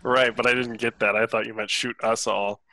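Bright-voiced man in casual banter. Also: mid-range voice, level tone, moderate pace, no room sound. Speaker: podcast regular and player. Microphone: headset, video call.

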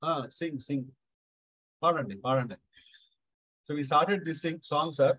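A middle-aged man with a South Indian accent tells a devotional anecdote casually, halting and repeating phrases. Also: a little high, fairly bright tone, husky, no room sound, low-quality recording. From Andhra Pradesh, India. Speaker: Carnatic musician, guest speaker.

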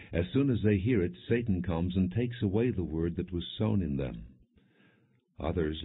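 A man with a very low voice reads scripture as a scripted audiobook narration. His tone is husky and his delivery is measured and solemn. The recording sounds muffled and low in fidelity. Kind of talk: scripted narration, non-dramatized scripture reading.

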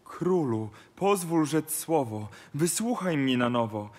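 A man's voice: a little high, expressive, takes it slowly, a little mellow. A man playing a humble, devoted poor young suitor in a rhymed musical fairy tale. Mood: earnest pleading. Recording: music under the voice.

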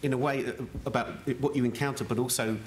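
A man moderating a panel discussion, asking halting, hesitant questions. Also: steady tone. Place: large conference room. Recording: echoey room, faint rumble.

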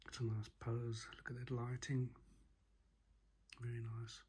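A man's voice: mid-range voice, monotone, unhurried, darkish tone, breathy edge. A middle-aged man chatting casually as he hosts an art demo, musing aloud in an understated way. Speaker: artist and channel host giving a drawing demo. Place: living room at home, indoors.

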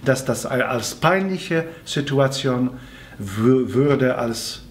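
A man in his forties from Poland, a native Polish speaker with a Polish accent, answering fan questions in a seated video interview in careful, slightly halting phrasing. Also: mid-range voice, animated. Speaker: musical theatre actor answering fan questions. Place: small room with red curtain and patterned wallpaper, indoors.

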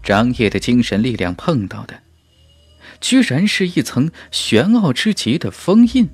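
A man's voice: mid-range voice, no echo. A man narrating a fantasy novel as a scripted audiobook, with a dramatic storytelling delivery.

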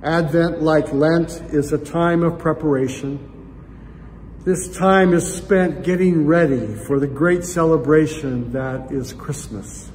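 An older man, a pastor, giving measured, formal welcoming remarks to open a worship service, recorded in a church sanctuary. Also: fairly high pitch, darkish tone, a little hiss or hum. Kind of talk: scripted welcome and opening remarks. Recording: reverberant sanctuary with faint ambience.

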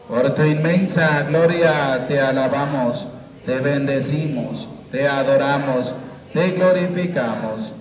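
Slow, measured priest in an echoey chapel. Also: male voice, on the high side. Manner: slow, measured liturgical cadence. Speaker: priest leading Mass. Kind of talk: liturgical prayer and Mass leading. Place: small chapel with curtained walls. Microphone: handheld microphone.

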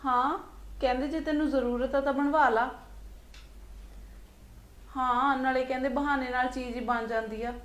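A woman's voice: sits high, fairly even intonation, moderate pace, pauses often, a bit bright. A woman with a Punjabi accent playing a proud, bossy elder daughter-in-law in a family drama. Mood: chatty, pleased. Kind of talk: colloquial domestic drama acting. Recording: roomy, echoing sound.

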